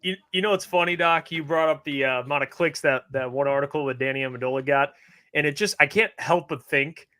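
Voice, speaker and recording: A man's voice: a little high, brisk, bright, forceful tone. A man co-hosting a sports podcast, joking loosely and swearing in casual banter. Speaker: podcast co-host.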